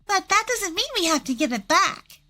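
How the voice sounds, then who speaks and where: A woman's voice: very high voice, very animated, moderate pace, pushed and bright, pitched above the performer's own voice, full character voice. A woman voicing a smug, brash young daughter-in-law, greedy and scornful, in a narrated family story. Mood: defensive.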